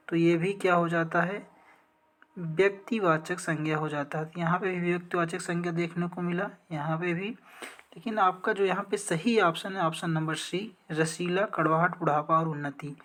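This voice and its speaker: Woman tutor going thru practice test questions, lowish voice, asks then answers herself. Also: a bit bright. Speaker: teacher, exam coaching instructor. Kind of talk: explaining practice quiz questions step by step.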